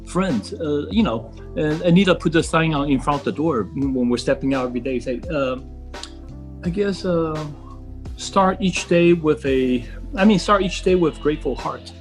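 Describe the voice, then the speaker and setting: A middle-aged man from Taiwan speaking as a podcast guest in casual conversation, earnest and halting, rephrasing as he goes. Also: fairly high pitch, soft background music.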